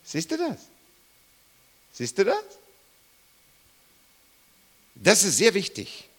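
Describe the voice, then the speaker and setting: Emphatic preacher man, swooping pitch. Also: huge pitch swings, measured pace, fairly bright tone. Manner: emphatic, rising and falling sharply, repeats questions to listeners. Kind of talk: Bible teaching sermon.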